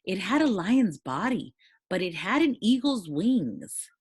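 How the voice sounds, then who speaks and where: A woman giving an expressive read-aloud narration of a children's storybook. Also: mid-range voice, a little slow, husky, no room sound, studio quality. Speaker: storybook reader.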